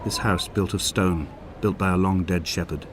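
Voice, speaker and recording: An actor playing a grieving, weary male castaway, narrating a video game's literary monologue in a solemn, measured, poetic way. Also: low-pitched, fairly even intonation, noisy background, close to an everyday voice. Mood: weary, desolate. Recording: voice over music.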